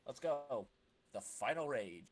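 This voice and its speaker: A man's voice: mid-range voice, animated, takes it slowly, a crowd in the background. A man co-hosting a podcast, doing amateur dramatic narration and chat. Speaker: podcast co-host reading comic narration.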